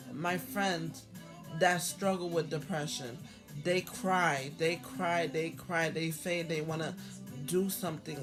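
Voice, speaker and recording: A hoarse woman vlogging about her own life in a halting, earnest way, repeating herself and restarting often. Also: fairly low pitch. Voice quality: halting, repetitive, with many restarts. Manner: earnest, heartfelt. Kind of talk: personal talk to camera.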